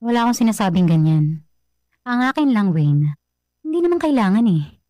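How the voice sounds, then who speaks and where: A woman's voice: medium pitch, sing-song, normal speed, mellow, no room sound, natural voice. An actress playing an irritated young girlfriend in a radio drama, curt and dismissive. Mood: annoyed. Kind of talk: Filipino radio drama acting. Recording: dry studio voice with music bed.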